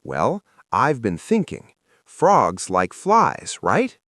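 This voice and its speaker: Man doing a loud, excitable schoolboy, pitch leaping around. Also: very slow, no room sound, clean studio sound, exaggerated voice. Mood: eager. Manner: loud, exclaiming. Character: loud, excitable young schoolboy, dim but eager best friend.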